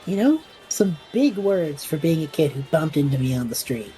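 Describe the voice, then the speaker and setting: A woman plays a flippant young male adventurer in an audio drama. The voice is mellow and swings widely in pitch, breezy and sarcastic, over faint music. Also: big pitch swings, normal speed, rough recording. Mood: showing off. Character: cocky, flippant young male student adventurer, a magic user.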